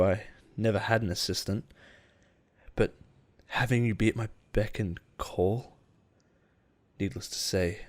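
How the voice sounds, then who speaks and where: A man playing an obsessive, possessive boss in love with his assistant, in an intimate audio roleplay with halting, pause-filled delivery. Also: fairly low pitch, darkish tone, close to an everyday voice. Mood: brooding, intimate. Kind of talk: intimate dramatic roleplay monologue.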